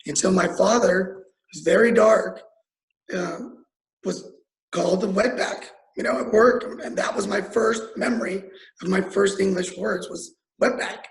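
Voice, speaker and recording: Candidate, man, native Italian speaker, high voice, personal storytelling, roomy sound. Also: fairly even intonation, unhurried. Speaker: mayoral candidate. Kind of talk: forum answer over video call. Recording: echoey room on a video call.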